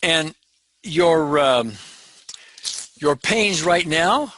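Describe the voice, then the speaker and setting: An older man hosting a therapy session, asking measured, gently probing questions. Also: on the high side, expressive. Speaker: EFT practitioner hosting a session. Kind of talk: calm therapeutic interview.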